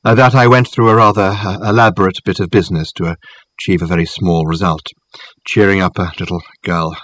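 A man voicing an eccentric, amiable elderly academic in an audiobook, rambling and halting with many hesitant ums. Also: sits low, steady tone, a bit bright. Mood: hesitant, reflective. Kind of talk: audiobook character acting.

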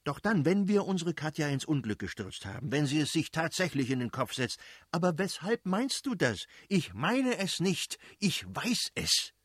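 A man's voice: on the high side, very animated, moderate pace, no echo, clean studio sound, voice pushed up. A man voicing an irascible aristocratic father in an audiobook, blustering and mocking as he scolds his wife. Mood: scolding. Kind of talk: dramatised audiobook reading.

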